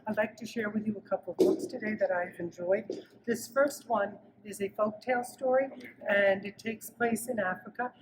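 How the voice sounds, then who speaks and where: A woman's voice: medium pitch, steady tone, moderate pace. A woman, a children's librarian, informally introducing and recommending books. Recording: clear background noise with clicks.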